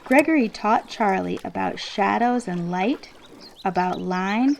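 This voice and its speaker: A woman reading a children's picture book aloud expressively, with measured, deliberate phrasing and emphasis on key words. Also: mid-range voice, expressive, creaky, slight background noise. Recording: classical symphony music playing behind. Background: orchestral classical music.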